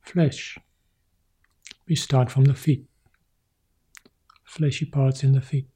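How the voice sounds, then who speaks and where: A man leads a guided meditation in short, gentle phrases, often dropping to a breathy whisper. His voice is very dark and mellow, with a husky edge. He speaks very slowly and leaves long pauses between cues. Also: medium pitch, flat delivery. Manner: soft, slow phrases, often sinking to a whisper. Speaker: Buddhist monk leading meditation.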